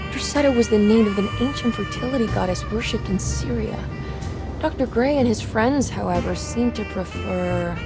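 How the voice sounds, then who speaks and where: Casting: female performer as young woman sleuth in a game cutscene, earnest, measured, mid-pitched, music underneath. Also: gravelly, close to an everyday voice. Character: worried, determined young woman investigating her family's mystery. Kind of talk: video game cutscene acting.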